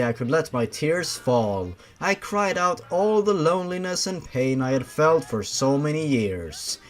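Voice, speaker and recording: A man narrating a story in a theatrical, dramatic way, with sarcastic asides. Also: a little high.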